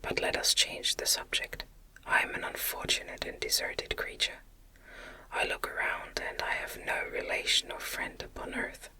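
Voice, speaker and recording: A woman's voice: bright, forceful tone, rough recording. Woman whispering as a lonely, pleading outcast creature. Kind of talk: whispered ASMR audiobook reading.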